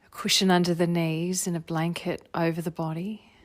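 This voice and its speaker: A woman leading a guided meditation as a teacher, giving gentle, soothing body-scan instructions in an unhurried way. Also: fairly low pitch, flat delivery, no echo. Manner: soothing, unhurried, gentle instruction with long phrases trailing softly.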